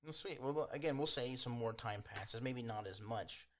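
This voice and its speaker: A man acting as game master, improvising tabletop game narration in an informal, hedging, unhurried way. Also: mid-range voice, a bit bright, slight background noise.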